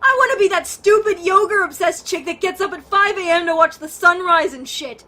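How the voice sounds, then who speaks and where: A woman's voice: very high voice, moderate pace, bright, forceful tone. A woman playing a bitter, guilt-ridden, foul-mouthed woman in an audio drama, blunt and defensive in manner. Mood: self-loathing outburst.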